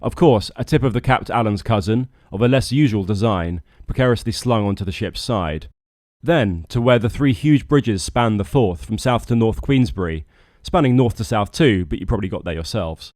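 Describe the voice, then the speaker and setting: A man's voice: lowish voice, animated, normal speed, darkish tone, dry recording. A British man narrating a scripted vlog as a boat skipper, with a wry, dryly humorous manner. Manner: wry, dry humour.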